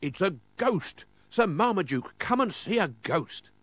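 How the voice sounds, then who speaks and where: A man voicing an excitable gentleman motorist in a storybook, emphatic and full of exclamation. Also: medium pitch, slow, slightly rough, dry recording, full character voice. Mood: excited.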